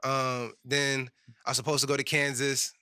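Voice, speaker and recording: A man's voice: mid-range voice, little pitch movement, normal speed, sharp tone, clean tone, no echo, studio quality. A young man from Phoenix, a guest rapper, talking bluntly and emphatically in a casual podcast chat. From Phoenix, Arizona. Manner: blunt, emphatic, swears freely.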